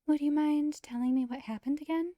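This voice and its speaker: A woman performs a shy, soft-mannered girl from a visual novel, pitched high. She speaks with courteous, formal phrasing and a calm, gentle temper. The sound is dry and close. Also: a little fast, dry recording, close to an everyday voice. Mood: polite, calm.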